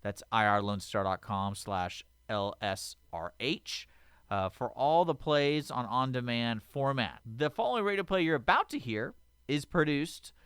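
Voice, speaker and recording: A man's voice: medium pitch, a little slow, fairly bright tone, clear, resonant tone, no echo, studio quality. A man giving an informal station announcement in a plain, slightly hesitant, loosely scripted way.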